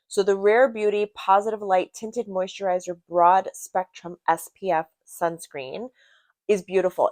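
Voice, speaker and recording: Low-pitched woman reviewing beauty products, dry sound. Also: no room sound. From Brazil. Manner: chatty, emphatic, repeats words for emphasis. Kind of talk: casual product review to camera.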